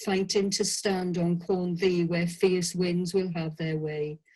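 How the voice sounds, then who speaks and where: A middle-aged woman with a South Wales Valleys accent reads aloud from her own prose. Her voice sits a little low, and her delivery is slow, measured and reflective. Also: a little mellow, low-quality recording. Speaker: writer reading her own piece. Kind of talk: scripted reading of personal prose.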